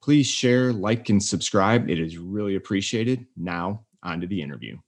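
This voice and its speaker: Male host, low-ish voice, upbeat intro reads and easy interview chat. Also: expressive, moderate pace, music in the background. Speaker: podcast host.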